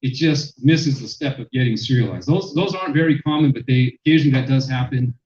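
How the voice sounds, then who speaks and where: Loud man lecturing in an echoey hall. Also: mid-range voice, fast talker. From Mexican-American. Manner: loud, many fillers and restarts. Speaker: sociologist presenter. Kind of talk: public lecture. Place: lecture room. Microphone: handheld microphone, then none. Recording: echoey hall, dull sound.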